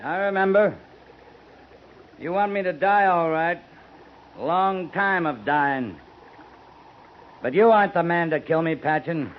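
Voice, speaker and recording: An actor playing a calm, steely cavalry captain who speaks quietly and is unshaken, in a 1950s radio drama. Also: male voice, on the high side, slow pace, pauses often. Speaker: Captain Lee Quince. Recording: dull old radio recording with hiss.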